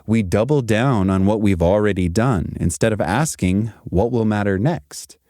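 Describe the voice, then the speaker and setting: A man giving a scripted motivational career talk in an emphatic, deliberate manner with short punchy sentences. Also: on the low side, dark tone, dry recording, studio quality. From Zimbabwe and South Africa. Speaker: podcast host and career speaker.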